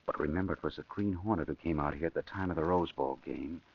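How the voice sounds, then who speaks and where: An actor playing a hard, scheming imprisoned gangster in a 1940s radio crime drama, with a rough, husky voice and an insistent, persuasive manner. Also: male voice, very deep voice, fast talker, heavily acted. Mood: urgent, persuasive. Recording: muffled old radio broadcast recording.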